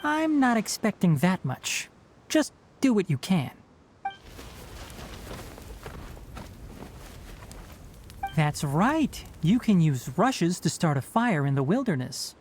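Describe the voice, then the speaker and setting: A man's voice: high voice, very animated, a little slow, darkish tone, a little hiss or hum. A man playing a young, knowledgeable forest ranger in a video game, instructive and slightly wry. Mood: matter-of-fact. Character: young male forest ranger, knowledgeable, dry, gently strict. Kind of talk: video game character acting.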